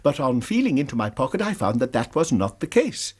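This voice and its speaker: Radio drama: anxious, formal British male academic, precise and earnest, lively pitch, slightly rough voice. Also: fairly quick. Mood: earnest recounting. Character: anxious, proper college lecturer reporting a crime.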